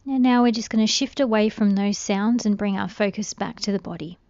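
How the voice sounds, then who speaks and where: Female meditation guide, gentle and soothing, with noticeable vocal fry, recorded very dry. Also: medium pitch, no echo, highs cut off. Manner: gentle, soothing, unhurried instruction.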